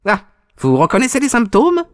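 A high-pitched, sneering storybook villain. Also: male voice, huge pitch swings, moderate pace, heavily acted. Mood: mocking, gloating. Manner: mocking, taunting, mock-polite. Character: sneering, gloating villain, a cruel male visitor. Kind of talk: audiobook character acting.

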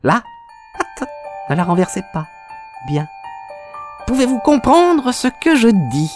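A high-pitched, sneering storybook villain. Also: male voice, very animated, takes it slowly, faint music behind, heavily acted. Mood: cold, taunting. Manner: mocking, taunting, mock-polite. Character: sneering, gloating villain, a cruel male visitor. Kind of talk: audiobook character acting.

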